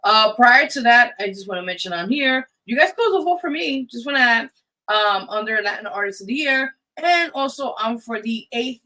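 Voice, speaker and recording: Bright-voiced woman hosting a live radio show. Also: fairly high pitch, animated, moderate pace, poor audio. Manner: informal, addressing listeners as guys. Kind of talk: casual live show announcements.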